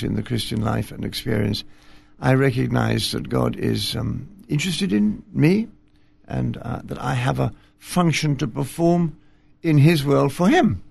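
Older clergyman guest, heavy vocal fry, gentle and self-deprecating, studio interview. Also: medium pitch, dry recording. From Scotland. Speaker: retired bishop, guest. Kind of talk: relaxed interview conversation. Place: television studio.

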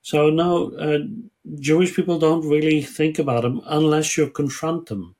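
A man of about sixty from the Netherlands, a guest answering interview questions in a measured, earnest way and pausing to find his words. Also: a little high, steady tone, darkish tone. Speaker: guest, ministry worker and lecturer. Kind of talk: interview answers, personal storytelling.

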